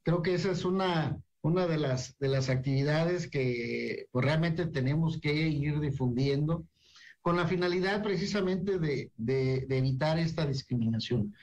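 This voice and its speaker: Man hosting a radio interview, slightly higher voice, measured and reflective. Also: darkish tone, dull audio, a little hiss or hum. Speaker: radio talk show host.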